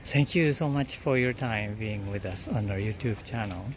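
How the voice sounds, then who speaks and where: A man with Japanese as his first language, interviewing tourists on the street in English, halting and searching for words. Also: on the low side, background noise.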